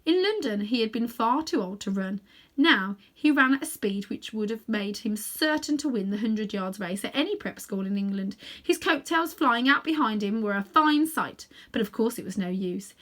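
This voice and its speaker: A woman narrating a children's storybook aloud in an expressive reading style. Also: a little high. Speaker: storybook reader.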